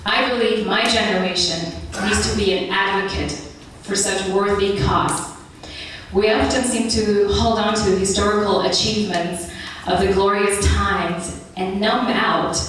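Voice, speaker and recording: Young woman, from Ethiopia, earnest measured speech, far from the mic, heavy hall echo. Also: mid-range voice, fairly even intonation, a little hiss or hum. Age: young adult. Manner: earnest, measured, reading a prepared address. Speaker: speaker at a public meeting. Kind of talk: prepared speech. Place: large meeting hall. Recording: echoey hall recorded from far off.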